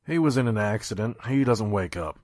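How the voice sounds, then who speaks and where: A man playing a weary, guarded pony trader in an audio drama, with a gruff, dry, terse way of talking. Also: on the low side, animated, brisk, darkish tone, no echo, natural voice. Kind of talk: audio drama narration and dialogue.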